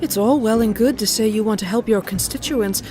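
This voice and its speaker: A woman's voice: a little high, fairly quick, over music, natural voice. A woman playing a proud, cutting noblewoman with a formal manner in an audio drama. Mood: haughty, challenging.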